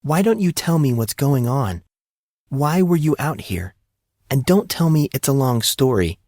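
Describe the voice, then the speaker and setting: A man voicing a calm, assertive teenage girl with a collected but demanding manner, in an audiobook reading. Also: mid-range voice, measured pace, no room sound, clean studio sound, pitched above the performer's own voice.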